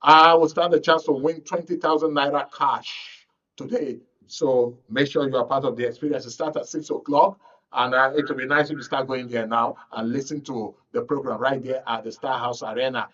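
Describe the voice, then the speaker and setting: Nigerian guy hosting a radio current affairs show, mid pitched, bit muffled and off the mic. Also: fairly even intonation, moderate pace, fairly bright tone, far from the mic. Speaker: radio programme host.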